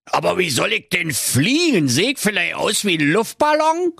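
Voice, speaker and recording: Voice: medium pitch, huge pitch swings, a little fast, fairly bright tone, breathy edge, no room sound, studio quality, pitched above the performer's own voice, heavily acted. A performer voicing a grumpy, fearful old male miser with a Berlin accent, indignant and pleading, in a comic storybook tale.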